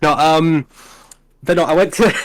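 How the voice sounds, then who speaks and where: A young Scottish man chatting casually on a tier-list panel, rambling and animated, swearing freely and restarting his sentences. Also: on the high side, unhurried. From Scotland. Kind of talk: casual chat.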